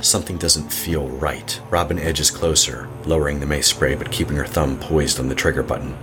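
A man narrating a scripted horror story in a measured, suspenseful manner. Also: a bass voice, fairly even intonation, music in the background.

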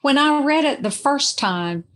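Female podcast guest, slow-paced reader's voice, casual but analytical, thinking aloud with questions. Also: sits high, animated, a bit bright. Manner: musing, questioning. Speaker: guest giving a reader's view. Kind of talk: casual, analytical book discussion.

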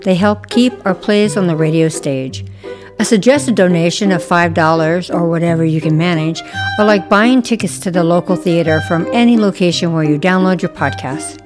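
A woman's voice: on the low side, normal speed. A woman hosting a theater podcast, reading a scripted announcement. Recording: clear music behind the voice. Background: music with strings.

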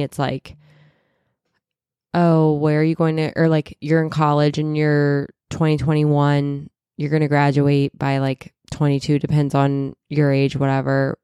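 A woman in her thirties hosting a casual solo podcast, chatty and full of "like"s, a little stuffy and raspy from a cold. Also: deep voice, dark tone, dry recording, studio quality. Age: 30s. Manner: chatty, many "like"s and self-corrections. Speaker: podcast host and wellness coach.

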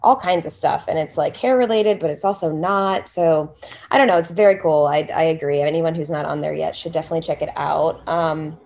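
A woman's voice: low-pitched, brisk, creaky, a little mellow. A woman in her thirties from the US, a salon owner chatting casually as a podcast guest, rambling with fillers and restarts.

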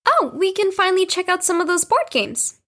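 A woman's voice: very high voice, big pitch swings, brisk, fairly bright tone, heavily acted. A woman playing a kind, eager young girl in an audio drama. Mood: friendly, curious.

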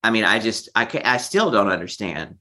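A man's voice: fairly low pitch, very animated, a little fast, sharp tone. A man in his fifties from Texas, telling his personal story candidly and reflectively as an interview guest. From Texas, United States. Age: 50s.

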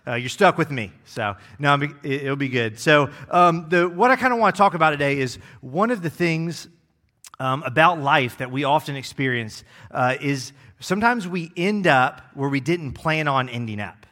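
A young man preaching a sermon as executive pastor, relaxed and joking, with many fillers. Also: fairly high pitch, moderate pace. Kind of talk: casual sermon. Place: church.

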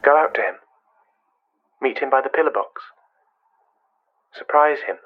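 An actor playing a wistful, controlling, quietly menacing man in a radio play, speaking in measured, fragmented phrases. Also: medium pitch, muffled recording, lightly acted. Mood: coolly controlling. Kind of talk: radio play monologue and dialogue.

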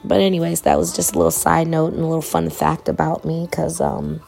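Podcast host, female, hoarse, loose rambling delivery, very gravelly, faint music under. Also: sits low, fairly quick. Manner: rambling, relaxed, colloquial. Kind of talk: casual solo podcast chat.

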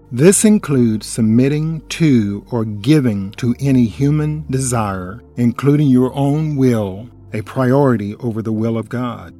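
Mellow-voiced man admonishing listeners on scripture. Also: mid-range voice. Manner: earnest, admonishing questions to the listener. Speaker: radio Bible study presenter. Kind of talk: scripted religious narration.

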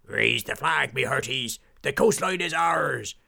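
A man doing a loud, gruff pirate captain. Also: mid-range voice, expressive, measured pace, voice pushed up, exaggerated voice. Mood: bellowing. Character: boisterous male pirate captain, flower lover.

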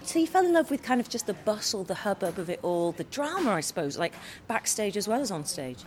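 A woman interviewing a guest, enthusiastic and quick to exclaim in surprise. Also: medium pitch, sing-song, darkish tone.

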